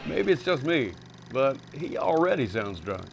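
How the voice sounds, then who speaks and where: A man hosts in a sardonic, joking way, poking fun at old films. His pitch moves around in a lively way, and there is noticeable noise behind him. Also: a little slow, gravelly. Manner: sardonic, jokey. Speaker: host. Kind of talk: wry mocking commentary on old films.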